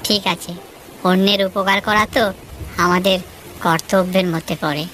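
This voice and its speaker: Woman voicing a humble village man, raised pitch. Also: steady tone, a little slow, background noise, lightly acted. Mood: humble, helpful. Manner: deferential, polite. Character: humble, honest poor village labourer man, eager to help. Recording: music behind the voice.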